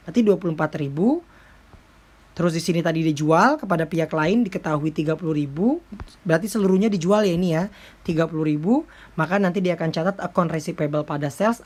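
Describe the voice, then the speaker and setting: A woman's voice: deep voice, a little mellow. A woman giving an informal lecture as a teacher, explaining step by step with frequent check-in tags. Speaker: accounting lecturer.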